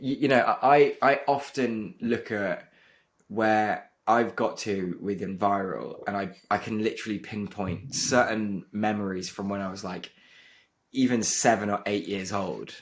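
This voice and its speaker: A man hosting a podcast, chatting casually and warmly with many hesitant restarts. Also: on the low side, fairly even intonation, a little slow. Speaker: podcast host.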